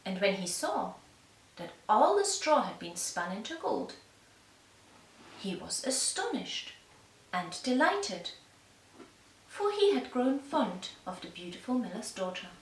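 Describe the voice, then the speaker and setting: A woman storyteller narrating a fairy tale for young children with measured, deliberate phrasing. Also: medium pitch, very animated. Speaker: storyteller and puppeteer. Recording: echoey room, distant mic, faint noise.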